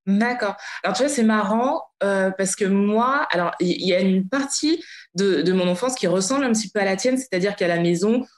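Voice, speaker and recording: Lady from around doing podcast chat, mid pitch, pretty roomy echo. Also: a little fast. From France, Paris suburbs. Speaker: podcast host. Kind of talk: conversational podcast interview. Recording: roomy, echoey sound.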